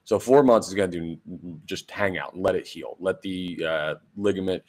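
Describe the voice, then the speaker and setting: Husky low-voiced young sports podcaster. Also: male voice, normal speed, darkish tone. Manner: chatty, sarcastic, rambling with fillers. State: hoarse, deeper after drinking. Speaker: podcast host. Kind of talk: casual solo sports talk.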